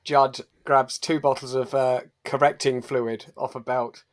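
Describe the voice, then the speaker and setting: A man's voice: mid-range voice, flat delivery, a little slow. A man improvising in a tabletop role-playing game, hesitant and mock-earnest. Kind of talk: improvised role-play in character as an actor.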